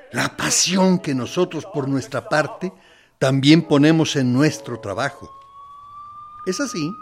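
An actor playing a smug military interrogator in a radio play, speaking in a theatrical, sardonic, mock-courteous way with quiet menace. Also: male voice, a little high. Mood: suave, insinuating. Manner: deliberate, sardonic, condescending, with theatrical pauses. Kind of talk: theatrical radio drama monologue.

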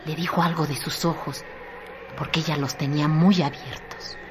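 Female narrator, low pitch, dramatic literary reading, radio drama, clearly noisy background. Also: steady tone, moderate pace.

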